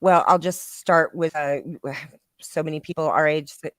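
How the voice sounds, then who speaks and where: A woman's voice: deep voice, steady tone, normal speed, dry recording, clean studio sound. A middle-aged woman chatting casually as a guest on a talk show. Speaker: podcast guest. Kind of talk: casual chat. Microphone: laptop on an online video call.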